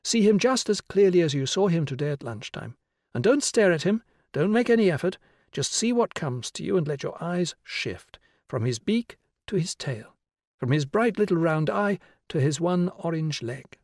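A man voicing a kindly woman teacher in an audiobook, coaxing and instructing children with deliberate phrasing. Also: a little high, lively intonation, a little mellow, dry recording, clean studio sound, voice pushed up. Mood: gently coaxing. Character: Susila, tall kindly woman teacher guiding children's pretend games. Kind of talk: audiobook character voice.

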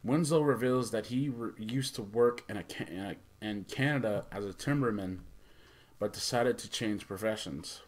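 A middle-aged man hosting a casual, off-the-cuff review, speaking haltingly and stumbling over his words. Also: lowish voice. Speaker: host of a movie review show.

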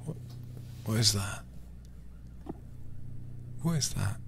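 Man, low voice, very heavy vocal fry, half-whispered, ASMR gaming stream, close mic. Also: lively intonation, takes it slowly, a little hiss or hum. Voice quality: soft, breathy, often whispered. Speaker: livestreamer playing a horror game. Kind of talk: ASMR game commentary. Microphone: close microphone.

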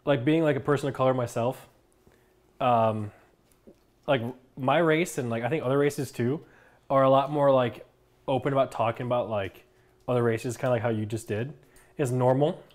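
A man's voice: mid-range voice, fairly even intonation, normal speed, pauses often. A young American man in casual, emphatic conversation, explaining his view and swearing freely in a home kitchen. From United States. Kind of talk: casual chat.

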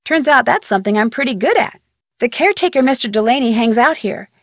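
A young American girl detective in a mystery video game. Her voice is on the high side and recorded dry and close. She talks in a chatty, curious, level-headed way, with clear diction and a wry edge. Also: lively intonation, fast, dry recording. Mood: chatty, explaining. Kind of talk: video game voice acting, conversational phone-call dialogue.

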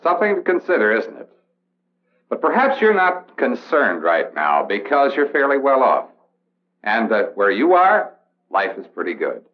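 An actor playing a serious Air Force officer instructor with an American accent, lecturing in a measured, formal way on an old training film. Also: male voice, medium pitch, huge pitch swings. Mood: serious, instructive. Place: indoor briefing room film set. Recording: dull vintage film soundtrack.

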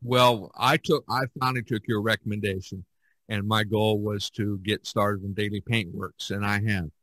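A man hosting a casual podcast discussion, thinking aloud in a halting way and repeating words. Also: fairly low pitch, steady tone, a bit bright, no echo, rough recording. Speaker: podcast host and artist.

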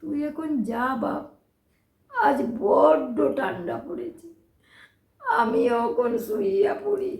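A woman performs a poor, motherly older aunt from a radio play, speaking eastern Bengali dialect. Her voice is high and very slow, crooning comfort with repeated phrases, grieving and tender, in a roomy echo. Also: big pitch swings, creaky, full character voice. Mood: weary, resigned. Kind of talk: emotional Bengali audio drama acting.

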